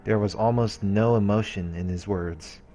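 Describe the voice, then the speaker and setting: A man with a very deep voice narrates a visual novel as a let's play host. He reads the written story aloud line by line. Faint game music plays behind him. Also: moderate pace. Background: soft game music.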